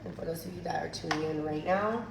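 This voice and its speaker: Husky low-voiced hair educator, echoey. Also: female voice, fast. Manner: chatty, addresses viewers as "you guys". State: recovering from losing her voice. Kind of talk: hands-on tutorial demonstration. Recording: echoey room, distant mic, noisy.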